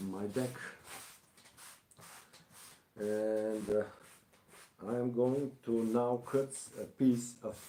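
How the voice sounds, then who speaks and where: Slow-talking Bulgarian man in an echoey room. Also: medium pitch, warm tone, slight background noise. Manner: halting, thinking aloud while working. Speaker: craftsman hosting a live stream. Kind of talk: casual live craft demonstration.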